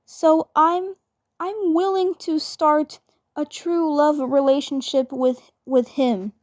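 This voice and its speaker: Cartoon fan dub: defiant, lovestruck young girl, played by a woman, high voice, stumbling and repeating, dismissive. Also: steady tone, dry recording, studio quality. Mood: defiant, fond. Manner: halting, repeating words, dismissive. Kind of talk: amateur fan dub acting.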